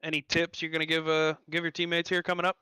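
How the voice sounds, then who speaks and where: A man's voice: a little high, completely flat delivery, quick pace, bright, forceful tone, clear, resonant tone, no room sound, low-quality recording. A man interviewing drivers on a sim racing broadcast, easygoing and folksy as he retells the action.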